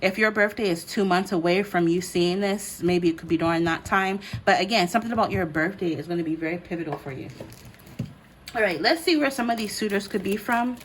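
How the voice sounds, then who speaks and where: A woman's voice: medium pitch, a little fast, slight background noise. A woman from the United States and Ghana, giving a casual, conversational card reading as she speaks directly to her listeners. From born in the United States, grew up in Ghana. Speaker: host, tarot card reader.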